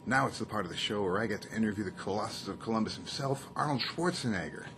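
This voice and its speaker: Guy rambling as a comedy host, lowish lively voice, noisy roomy recording. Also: fast. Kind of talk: casual, rambling comic talk. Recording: echoey room with clear background noise.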